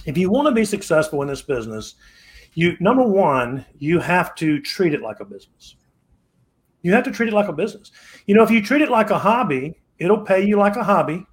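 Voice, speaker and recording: A man in his sixties, a podcast guest, giving motivational advice in a preachy, repetitive way and often restarting his phrases. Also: sits high. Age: 60s. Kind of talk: motivational interview talk.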